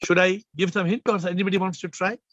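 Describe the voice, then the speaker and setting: A man with a fairly high voice leads a spiritual talk over a video call. He is emphatic, repeats himself and puts questions to listeners. The sound is dry and a little muffled. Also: level tone, fast talker, a bit bright, no room sound. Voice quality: slightly low, subdued voice today. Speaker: spiritual teacher hosting a call.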